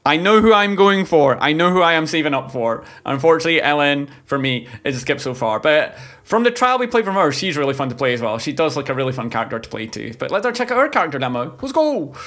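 A man's voice: fairly high pitch, sing-song, fast talker, a bit bright. A man in his thirties giving casual, exclamatory reaction commentary as a streamer, often repeating his phrases. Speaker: reaction video host, streamer. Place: indoor home streaming room. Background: music from the trailers being watched.

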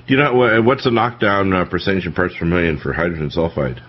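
A man's voice: low voice, quick pace. A man hosting a radio panel and giving technical explanations in conversation. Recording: dull lo-fi radio audio, faint noise.

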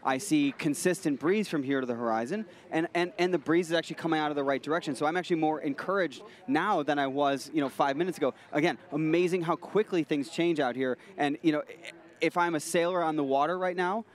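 A man's voice: fairly high pitch, fast. Male sailing analyst thinking aloud. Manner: thinking aloud, repeats words as he restarts. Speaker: expert analyst. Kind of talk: live sports analysis.

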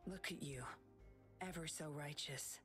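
An actress playing a sly, secretive young woman patroller in an anime-style video game, cool, measured and teasing. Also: deep voice, fairly bright tone, faint music behind.

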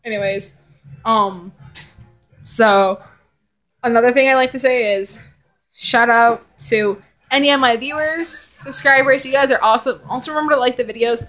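High-voiced young woman, casual and rambling. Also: normal speed, long pauses, a bit bright, faint music behind, low-quality recording. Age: young adult. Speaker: vlogger. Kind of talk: casual chat and fan fiction reading. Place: living room indoors.

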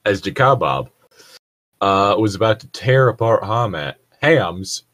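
A man's voice: on the low side, expressive, measured pace, a bit bright. A man bantering casually and reading aloud as a show host, with a sweary, exasperated manner.

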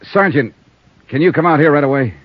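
An actor with an American accent playing a shrewd, world-weary insurance investigator with clipped, hardboiled delivery in 1950s radio style. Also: male voice, a little high, a little fast, no echo, close to an everyday voice. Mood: probing. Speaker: lead actor of a radio detective serial. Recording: muffled old radio broadcast.

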